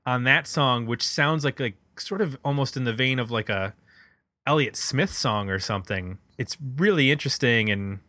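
Man talking music, crisp bright voice. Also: mid-range voice, normal speed, no echo. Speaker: music podcast host. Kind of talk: casual music discussion.

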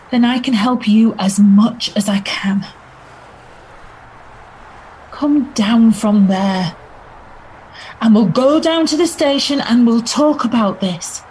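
Casting: woman as female detective inspector, higher voice, measured pace, calm negotiator, audio drama with faint background noise. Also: rough recording. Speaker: actor playing a detective inspector.

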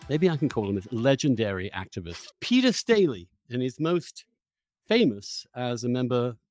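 Man, podcast host, mid-range voice, conversational interviewing, halting rephrased phrasing, dry close sound. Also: mid-range voice, big pitch swings, darkish tone, no echo, soft background music.

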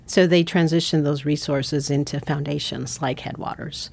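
A woman from San Francisco, a foundation CEO, giving measured, thoughtful answers in an interview. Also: low-pitched, steady tone, gravelly, darkish tone. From San Francisco, United States.

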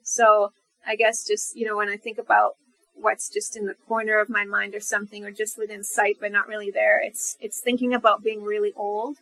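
A woman's voice: medium pitch, little pitch movement, a little fast, a bit bright, rough recording. A woman speaking as a podcast guest in casual, reflective conversation, thinking aloud as she goes.

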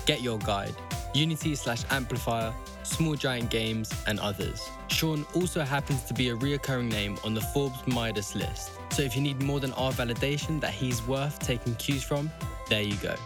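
Upbeat male announcer over music. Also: medium pitch. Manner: upbeat, promotional. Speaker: podcast host, intro announcer. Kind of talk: scripted show intro. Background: music bed.

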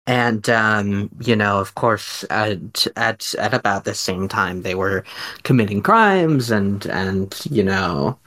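Man with a lowish voice, thinking aloud. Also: lively intonation, a bit bright. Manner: halting, rambling, thinking aloud. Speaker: podcast host. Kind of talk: casual mock-scholarly discussion.

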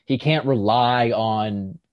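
A man co-hosting a podcast, casually discussing writing in a halting, tentative way, circling toward each point. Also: medium pitch, steady tone, dry recording, lo-fi sound. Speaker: podcast co-host.

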